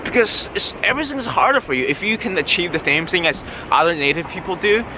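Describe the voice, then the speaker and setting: A man's voice: high voice, expressive, fast, background noise. A young man from China with a Chinese accent, a Mandarin native speaker, giving upbeat, emphatic advice in a casual vlog, repeating words for stress. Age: young adult. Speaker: vlogger giving tips to students. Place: outdoors on a city street.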